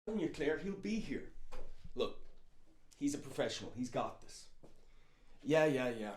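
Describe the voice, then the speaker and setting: Man acting animated, flustered TV awards host. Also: medium pitch, unhurried, roomy sound, a little hiss or hum. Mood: anxious. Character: flustered, exasperated male awards-show TV host named Tom. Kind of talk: comedy sketch presenting.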